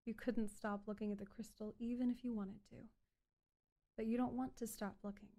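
A woman performing an ASMR hypnosis roleplay as a calm, controlling doctor, speaking in a soothing, repetitive, coaxing way. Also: on the high side, normal speed. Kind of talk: ASMR hypnosis roleplay, guided relaxation script.

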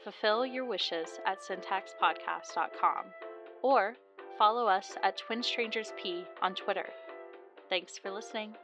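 Woman announcer over clear music. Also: mid-range voice, a little slow. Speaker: podcast announcer. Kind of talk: scripted promo narration.

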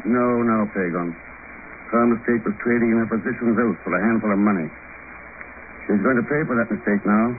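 An actor playing a composed, urbane male secret agent with a calm, measured delivery in 1950s radio drama style. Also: lowish voice, lightly acted. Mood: grave, reflective. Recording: muffled, noisy 1950 radio recording.

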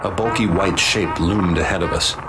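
A man narrating and acting as expedition leader in a radio drama, grave and measured. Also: deep voice, heavy background noise.

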